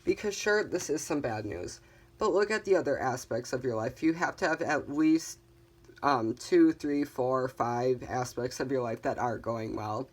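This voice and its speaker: A tarot reader hosting a casual card reading, talking in a calm, reassuring way and guiding viewers, with frequent "um" fillers. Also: mid-range voice, unhurried. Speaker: tarot reader, channel host.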